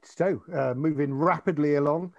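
A man's voice: on the high side, normal speed, vocal fry, a little mellow, no echo, highs cut off. Hesitant man steering a podcast chat. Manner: brief prompts, hesitant with fillers and repeats. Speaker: podcast producer and co-host. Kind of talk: casual conversational podcast chat.

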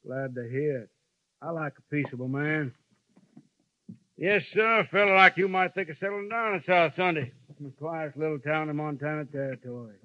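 An actor playing a folksy, controlling frontier sheriff in a 1950s radio western, laconic and authoritative, with an American western accent. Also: male voice, on the high side, moderate pace, no room sound, natural voice. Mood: affable, controlling. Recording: muffled, dry vintage radio broadcast.

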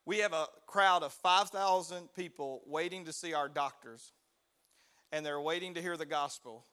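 Pastor, preaching chatty, high bright voice, calls out to the crowd. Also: male voice, moderate pace, clear, resonant tone. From United States. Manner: conversational preaching, asks the crowd for responses. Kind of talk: sermon.

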